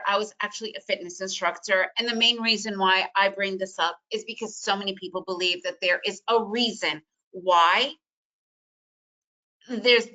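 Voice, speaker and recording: Energetic bright-voiced woman trading coach. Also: medium pitch, low-quality recording. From Soviet Union. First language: Russian. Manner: energetic, emphatic, repetitive phrasing. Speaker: trading educator. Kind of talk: webinar presentation.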